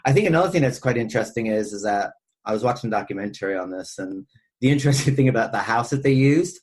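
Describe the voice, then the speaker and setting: A man with a husky voice talking casually and ramblingly as a podcast host discussing film. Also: mid-range voice, expressive, fast.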